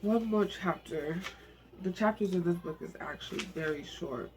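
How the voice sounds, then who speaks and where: Male amateur reader, high and creaky, soft and emotional storytelling with casual asides. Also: moderate pace, slight background noise, poor audio. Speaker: amateur book reader and channel host. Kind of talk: reading a novel aloud, with informal asides.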